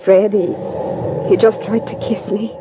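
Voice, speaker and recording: An actress playing an unhappy, earnest young wife in old radio melodrama style, hesitant and pleading. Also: female voice, medium pitch, flat delivery, measured pace, very noisy, natural voice. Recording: dull old recording with music behind. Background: music.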